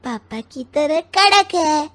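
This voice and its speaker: A woman voicing a sulky, cheeky little schoolboy who pouts and talks back in an animated family story. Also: sits high, takes it slowly, exaggerated voice. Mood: sweet, curious. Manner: pouty, talking back.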